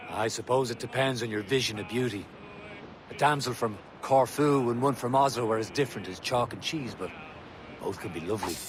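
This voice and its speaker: A man's voice: medium pitch, a little fast, a crowd in the background, close to an everyday voice. A man playing a seasoned, unyielding assassin mentor in a video game cutscene. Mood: thoughtful. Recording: noisy outdoor ambience behind dialogue.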